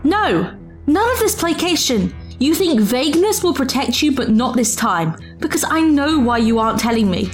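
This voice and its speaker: Proud, higher-voiced time traveller. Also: female voice, very animated, moderate pace, faint music behind. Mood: angry. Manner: formal, pointed, challenging. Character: proud, sharp, distrustful female time traveller. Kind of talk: audio drama acting.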